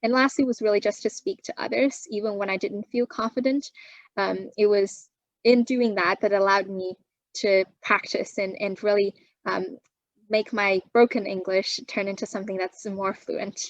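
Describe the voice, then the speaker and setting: A young woman from China, whose first language is Chinese, answers in a calm, considered way and pauses to gather her thoughts. Her voice sits in an ordinary middle register. The recording is dry, with no room echo. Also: mid-range voice. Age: young adult. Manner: measured, thoughtful, frequent um fillers. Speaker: guest. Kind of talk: interview answers.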